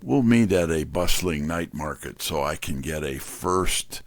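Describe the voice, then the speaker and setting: A man's voice: rumbling low, animated, unhurried, heavily acted. A man playing a tough, wisecracking private-eye narrator in an audio drama, wry and slangy. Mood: wry, narrating. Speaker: narrator and lead character. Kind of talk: first-person hardboiled detective narration and dialogue.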